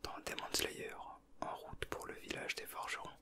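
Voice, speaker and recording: A man whispering softly in a casual ASMR style, with a breathy, hushed voice. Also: moderate pace. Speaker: ASMR video creator. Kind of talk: whispered ASMR, chatting and reading aloud from a booklet.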